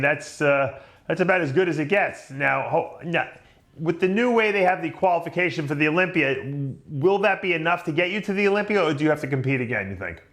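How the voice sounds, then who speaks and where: A man's voice: a little high, fairly bright tone. A middle-aged man from New York hosting an interview, chatty and easygoing, with frequent restarts. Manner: chatty, many restarts, laughs easily. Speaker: interview host. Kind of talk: casual interview chat.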